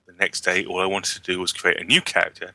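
Deep-voiced, bright man. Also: completely flat delivery, fast talker, no echo. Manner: chatty, self-deprecating, laughs while reading. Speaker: podcast co-host. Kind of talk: casual chat, reading listener emails aloud.

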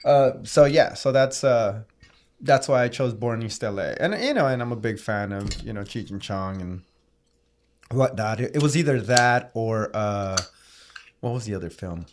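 A man, chatting casually as a podcast guest in a halting, slangy, playful storytelling way. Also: on the low side, expressive, slight background noise. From born in Guatemala, raised in Los Angeles. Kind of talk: casual chat.

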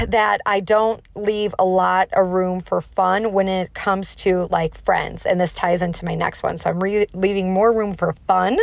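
A woman's voice: fairly low pitch, creaky, dry recording. A woman in her thirties with a nasal voice, chatting casually and emphatically as she hosts a solo podcast. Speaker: podcast host.

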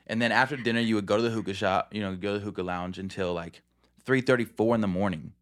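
A man's voice: on the low side, fast. A man hosting a podcast in casual chat, telling stories in a slangy, emphatic way.

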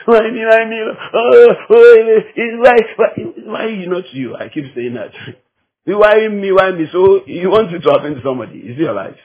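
A man's voice: sits high, big pitch swings, normal speed, husky, narrow, tinny audio. A man from Ghana with a Ghanaian accent preaching a teaching sermon, emphatic and repeating phrases to drive them home.

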